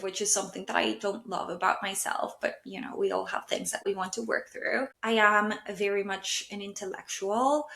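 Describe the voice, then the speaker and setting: A woman's voice: medium pitch, fairly bright tone. Thoughtful woman in an echoey room. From Colombia. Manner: thoughtful, self-searching, many fillers like "like" and "kind of". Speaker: podcast host and stylist. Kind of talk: reflective solo podcast monologue.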